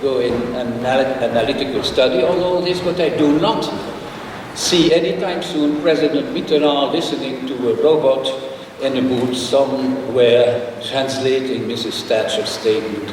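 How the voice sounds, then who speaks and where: An older man delivers a formal address in a large conference hall. He speaks in a measured, slightly halting way, with learned asides. The sound is far from the mic and washed in long reverb, with steady noise behind it. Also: medium pitch. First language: Dutch. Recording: echoey hall, picked up from afar, with noise.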